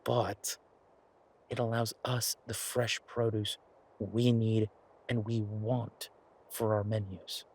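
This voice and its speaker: Man acting as a husky, easygoing practical boyfriend. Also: medium pitch. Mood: thoughtful, practical. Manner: casual, thoughtful, explanatory, halting pauses. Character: male werewolf boyfriend, practical restaurateur pitching a farm plan. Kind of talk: roleplay audio drama, naturalistic one-sided conversation.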